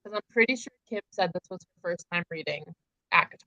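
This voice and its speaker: A woman's voice: fairly low pitch, fast, bright, forceful tone, no echo, dull audio. A woman co-hosting a book discussion in casual, conversational chat. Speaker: co-host of a book readalong live stream.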